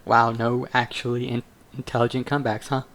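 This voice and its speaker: A woman's voice: a bass voice, flat delivery, a little fast. A woman giving casual, informal and reactive commentary while playing a game. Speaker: streamer.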